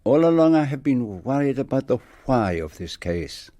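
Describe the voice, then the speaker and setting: An actor playing a proud, shrewd detective with a Belgian French accent in a radio play, speaking formally and precisely. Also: male voice, medium pitch, sing-song, normal speed, warm tone, close to an everyday voice. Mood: musing, self-satisfied.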